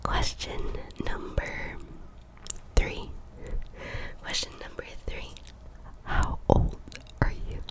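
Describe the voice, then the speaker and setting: A woman in her twenties from Colombia, whispering a casual ASMR chat and softly repeating trigger words. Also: slow pace, long pauses. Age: 20s. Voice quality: breathy unvoiced whisper. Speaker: ASMR creator.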